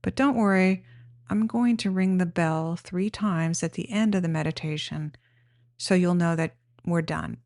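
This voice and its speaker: A woman leading a guided meditation, giving gentle, plain-spoken instructions in an unhurried way with pauses. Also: lowish voice, no room sound.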